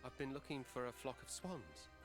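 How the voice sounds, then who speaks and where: Lively young weaver lad acted by a man. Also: medium pitch, normal speed, over music, lightly acted. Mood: earnest. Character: earnest young male weaver boy, adventurer. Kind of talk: audio drama and game voice acting.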